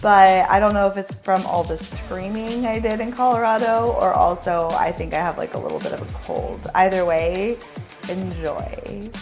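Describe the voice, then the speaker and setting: A woman with a hoarse voice, co-hosting a podcast in a rambling casual chat and laughing often. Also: mid-range voice, normal speed, a little mellow, soft background music. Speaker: podcast co-host.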